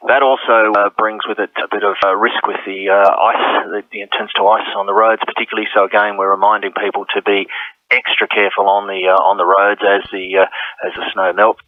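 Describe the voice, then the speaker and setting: A man speaking haltingly as a parks spokesman in an unscripted news clip over a phone-like line. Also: on the low side, level tone, no room sound. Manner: halting, frequent hesitations. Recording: narrow, phone-like radio clip.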